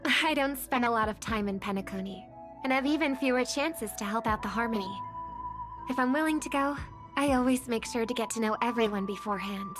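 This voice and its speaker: Gentle young game heroine played by a woman: high voice, measured and polite, thoughtful, music underneath. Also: lightly acted. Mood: courteous, warm. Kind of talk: video game voice acting.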